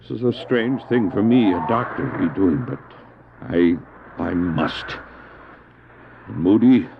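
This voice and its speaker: A man's voice: low-pitched, phone-quality sound, noisy background. A man acting a stranded, devout doctor and dog sledder in an audio drama, earnest and theatrical, anguished but resolute, on an old cassette recording. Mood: torn, sorrowful. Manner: earnest, theatrical, halting when speaking in character. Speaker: narrator and lead actor. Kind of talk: dramatized first-person adventure narration, audio drama.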